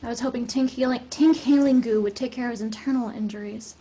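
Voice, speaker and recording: A woman playing a caring, anxious young female healer in a drama table read, with a soft, breathy voice. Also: fairly high pitch, fairly even intonation, fast, poor audio. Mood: concerned.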